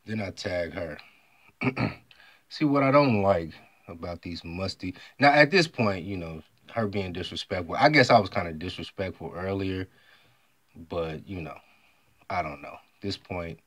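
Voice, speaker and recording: Brief: American male vlogger, very low voice, emphatic, clears his throat a lot, dry close recording. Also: expressive, a little slow, fairly bright tone, no room sound. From United States. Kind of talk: monologue retelling an online argument.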